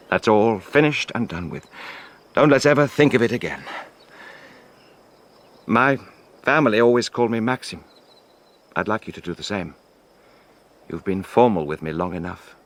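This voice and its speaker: An actor playing a brooding, brusque middle-aged widowed gentleman in a radio drama, with a clipped, commanding, well-bred manner. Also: low voice, creaky, heavily acted. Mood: weary, softened.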